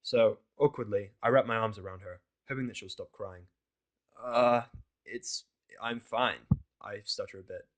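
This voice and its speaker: A man's voice: fairly low pitch, a little fast, few pauses. Man narrating a blunt first-person story. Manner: blunt, sweary. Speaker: audiodrama narrator of fan fiction. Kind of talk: first-person scripted narration with character voices.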